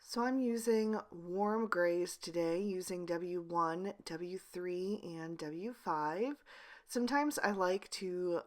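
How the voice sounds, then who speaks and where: Woman craft host, low-ish and hoarse, breathy near-whisper voice-over, steady tutorial delivery. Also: takes it slowly. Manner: pushes to speak as loudly as she can, steady instructional tone. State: hoarse, losing her voice. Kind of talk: voice-over narrating a card-coloring tutorial.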